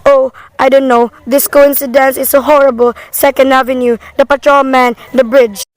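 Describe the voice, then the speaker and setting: A woman's voice: high voice, flat delivery, normal speed, close to an everyday voice, poor audio. A woman playing an anxious, demanding invalid wife in an amateur radio play, fretful, insistent and wordy. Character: anxious, demanding invalid wife reporting an overheard murder plot.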